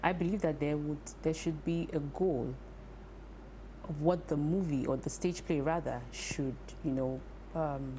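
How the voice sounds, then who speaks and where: Woman, Nigerian accent, deep voice, soft warm tone, interviewer, background noise. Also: fairly even intonation, a little slow, poor audio. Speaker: talk show host. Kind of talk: conversational interviewing.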